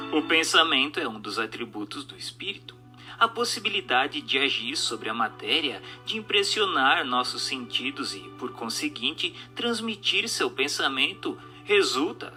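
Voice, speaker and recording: A man's voice: medium pitch, sharp tone. A man reads a formal text as dramatic narration, in an emphatic, rhetorical, oratorical manner. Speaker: narrator reading a book aloud. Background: music.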